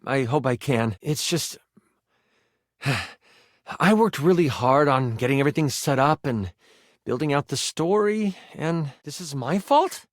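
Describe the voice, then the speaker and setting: A man voicing an earnest, excitable, nerdy game master in a video game, with a theatrical tabletop narrating manner. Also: on the high side, a little slow, dry recording, studio quality. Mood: hurt, sincere. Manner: theatrical, earnest, awkwardly gushing.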